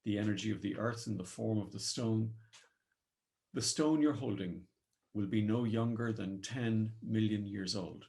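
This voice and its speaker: A man with a low-set voice and an Irish accent guides a meditation. His phrasing is solemn and poetic, measured out phrase by phrase as he gives gentle instructions. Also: vocal fry. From Ireland.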